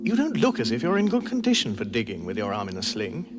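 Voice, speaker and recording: Baffled polite english bloke lost in space, dry, pitch jumps around, radio comedy. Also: male voice, wildly swooping pitch, quick pace, loud music behind the voice. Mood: puzzled, diffident. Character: bewildered, hapless, dryly sarcastic ordinary Englishman stranded in space. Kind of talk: radio comedy drama acting.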